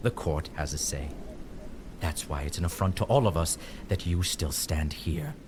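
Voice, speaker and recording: A bitter older knight of an audio drama, proud and contemptuous. The voice swings widely between theatrical bluster and low menace, with faint ambient noise behind it. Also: male voice, expressive, moderate pace. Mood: menacing, contemptuous.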